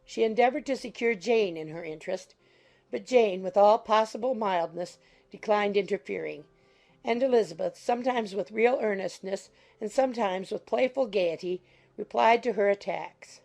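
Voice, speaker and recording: A woman's voice: mid-range voice, normal speed, creaky, a little mellow. A woman reading scripted literary narration as an audiobook narrator.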